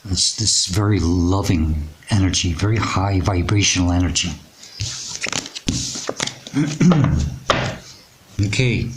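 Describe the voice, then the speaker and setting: A man with a raspy, hoarse voice giving a tarot reading, speaking in a reassuring, unhurried way with many pauses and fillers. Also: sits low, expressive, slight background noise, low-quality recording. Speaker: tarot reader. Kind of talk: intuitive tarot reading to camera.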